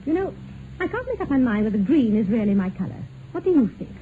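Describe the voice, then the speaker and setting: An actress playing a young aristocratic wife with a British accent, provocative, unhappy and bitterly ironic, in a 1950s radio drama. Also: female voice, on the high side, big pitch swings, quick pace. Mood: taunting. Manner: taunting, ironic, theatrical. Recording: thin, phone-like old radio recording, faint noise.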